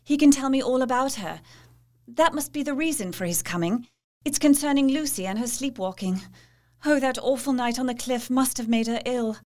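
An actress playing an earnest, anxious young Victorian wife, confiding in a proper manner as in a dramatised diary. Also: female voice, on the high side, close to an everyday voice.

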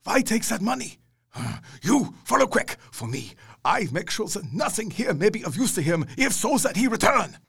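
An elderly professor whose comes out in urgent, clipped commands. His voice is husky and gravelly, and it rises and falls widely. Faint music sits underneath. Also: high-pitched, very animated, normal speed, a bit bright, full character voice. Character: urgent elderly male vampire-hunting professor.

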